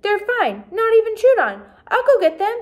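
A woman voicing an excitable, earnest young girl in a storybook, blurting things out eagerly. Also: very high voice, moderate pace, pitched above the performer's own voice. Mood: reassuring.

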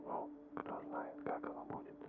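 A man in his forties whispering breathy, soothing, unhurried ASMR talk close to the microphone. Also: phone-quality sound. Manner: calming, unhurried, playful rambling. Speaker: ASMR host. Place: small dim room indoors. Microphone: close studio microphone with foam cover. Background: rain and thunder sound effects.

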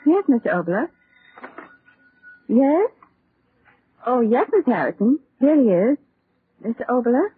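Dutiful, very husky secretary. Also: female voice, on the high side, very animated, unhurried, pauses often, exaggerated voice. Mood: polite. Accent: American. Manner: polite, deferential, eager. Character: dutiful, anxious female secretary. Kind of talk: 1940s radio drama acting. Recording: tinny vintage radio recording.

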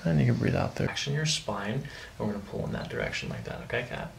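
Male chiropractor, middle-aged, very creaky voice, lively pitch swings, calm reassuring cues in a treatment room. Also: fast talker, a little hiss or hum. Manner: soothing, reassuring short instructions. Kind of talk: hands-on patient coaching during treatment, ASMR. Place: small carpeted treatment office, indoors. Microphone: microphone on boom stand nearby.

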